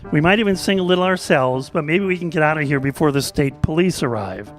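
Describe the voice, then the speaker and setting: Man, radio theater host, high-pitched for a male, casual stage patter, light music. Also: lively intonation, quick pace. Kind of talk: casual closing thanks to audience.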